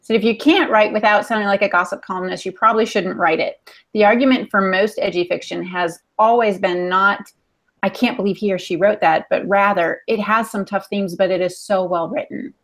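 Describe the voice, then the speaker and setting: A woman co-hosting a casual podcast discussion, explaining her points in a measured way. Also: medium pitch, steady tone, a bit bright. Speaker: podcast co-host.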